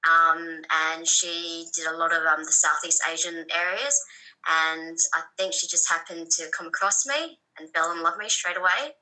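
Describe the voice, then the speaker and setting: A woman in her thirties, from Thailand and raised in Australia, answering interview questions in a soft, hesitant way with frequent pauses. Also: lowish voice, poor audio. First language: Thai. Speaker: guest. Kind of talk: personal story interview.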